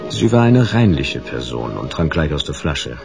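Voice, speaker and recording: An actor playing a laconic, sardonic private detective in a German radio drama, dry and deadpan in narration and questioning. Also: male voice, a bass voice, normal speed, creaky, music in the background, exaggerated voice. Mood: wry narration.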